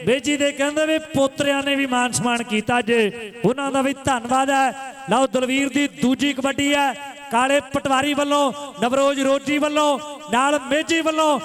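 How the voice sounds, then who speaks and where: Male sports commentator, extremely high voice, live kabaddi calls, repeated rallying phrases. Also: fairly bright tone. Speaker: kabaddi match commentator and announcer. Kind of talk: live sports commentary with crowd-rousing announcements. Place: live village kabaddi tournament ground.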